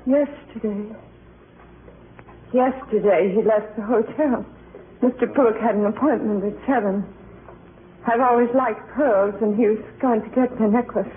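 An actress playing a timid, distraught middle-aged wife in an old radio drama, speaking in a halting, repetitive way. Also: female voice, fairly high pitch, natural voice. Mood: grieving, halting. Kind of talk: 1950s radio drama acting. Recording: tinny old radio recording, faint noise.

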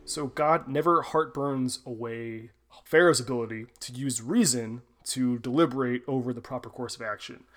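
A man's voice: mid-range voice, moderate pace, a bit bright. A man co-hosting a podcast, reasoning through theology in a casual, hedging way. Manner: thoughtful, hedging with fillers. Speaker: podcast co-host. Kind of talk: casual scholarly discussion.

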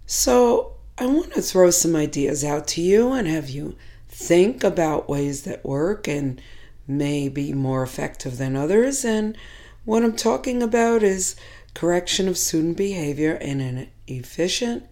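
A hoarse woman speaks in a low, mellow voice, measured and reflective as she talks fellow teachers through classroom ideas. She sounds middle-aged and pauses often to gather her thoughts. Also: expressive. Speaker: teacher educator. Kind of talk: instructional talk to teachers.

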